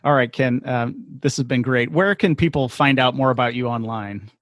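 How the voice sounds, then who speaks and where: A man's voice: mid-range voice, moderate pace, a bit bright, dry recording, studio quality. A man hosting a podcast, talking casually in an affable, agreeable way. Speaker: podcast host. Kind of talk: casual interview chat.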